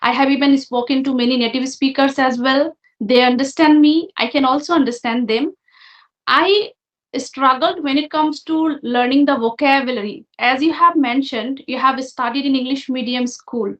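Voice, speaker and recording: A woman's voice: high voice, steady tone, normal speed. A woman from Assam speaks, talking candidly about learning English as her fourth language. Her audio comes through roomy and slightly dull, like a call. She sounds reflective and open. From Assam, India. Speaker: guest. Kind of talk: casual conversation.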